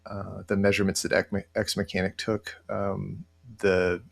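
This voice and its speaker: A middle-aged man presenting a casual software walkthrough, thinking aloud in a halting way. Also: sits low, completely flat delivery, vocal fry. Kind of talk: casual screen-share walkthrough. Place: indoor room, webcam screen recording.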